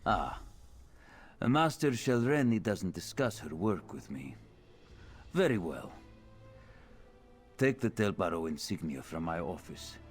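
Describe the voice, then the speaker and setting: A wary male official of a wizard's tower in a fantasy game. His voice is deep, his delivery formal and drily sardonic, over faint music. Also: big pitch swings, slow, pauses often, heavily acted. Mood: businesslike. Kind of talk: scripted fantasy video game dialogue.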